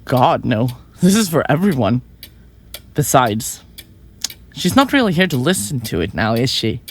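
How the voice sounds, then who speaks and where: A woman playing a flippant, self-important young murderer in an audio drama, glib and quick to get defensive. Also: a bass voice, very animated, breathy edge, exaggerated voice. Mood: blithe pride. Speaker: murderous podcaster in a therapy session. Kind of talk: audio drama acting, conversational.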